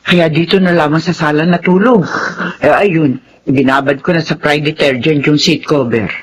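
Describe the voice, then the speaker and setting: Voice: mid-range voice, fairly even intonation, normal speed, slight background noise, lightly acted. An actor playing a fretful, caring older Filipina household nanny in a radio drama, with a Filipino accent. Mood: worried, grumbling.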